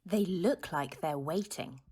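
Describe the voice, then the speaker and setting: Slow, patient, lowish-voiced mother. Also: slightly rough. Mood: unsure, calm. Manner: patient, hesitant, gentle explaining. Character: patient mother describing the view to her child. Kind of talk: audio drama acting.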